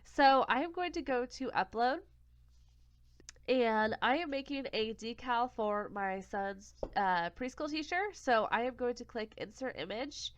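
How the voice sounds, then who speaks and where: A woman's voice: fairly high pitch, lively intonation, unhurried, a little hiss or hum. Congested young woman walking through a tutorial. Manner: step-by-step, self-correcting, plain and friendly. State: congested from a cold. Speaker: craft tutorial host. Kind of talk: screen-recorded software tutorial. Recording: dull, lo-fi home recording.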